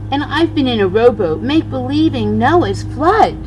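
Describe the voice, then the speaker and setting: An older woman, a grandmother, reading a rhyming children's storybook aloud in an expressive, singsong way. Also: sits high, moderate pace, a little hiss or hum, low-quality recording.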